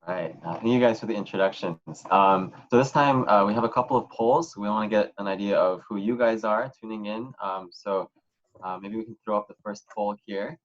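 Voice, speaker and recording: A young man with a low voice moderates an online panel from home. He speaks in a loose, easygoing way, full of ums and uhs. The video call audio sounds muffled and a little dull. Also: a little fast. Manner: relaxed, many "um"s and "uh"s.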